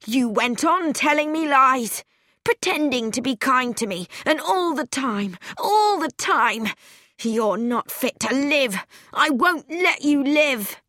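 A woman's voice: medium pitch, slow, a bit bright, dry recording, studio quality. A woman voicing a mad, vengeful young man who rants melodramatically in an audiobook. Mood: raging, accusing. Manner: ranting, crying out.

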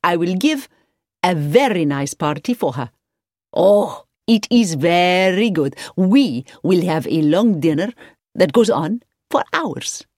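A woman's voice: fairly low pitch, animated, takes it slowly, a little mellow, no echo, studio quality. Woman putting on an exuberant, gushing hotelkeeper man. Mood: jovial, effusive. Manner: effusive, enthusiastic, emphatic. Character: exuberant, hospitable foreign hotelkeeper man, effusive and jovial.

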